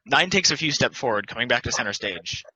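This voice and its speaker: A man's voice: medium pitch, expressive, fast, sharp tone, husky, poor audio. A man reading stage directions as scripted narration for a play table read.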